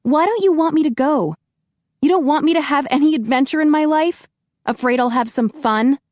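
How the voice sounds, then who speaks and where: Woman reading a mad young woman chewing someone out, higher voice, dry close sound. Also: expressive, moderate pace, a little mellow, no room sound, close to an everyday voice. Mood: angry. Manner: heated, exasperated scolding. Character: indignant young woman, fiery and defiant.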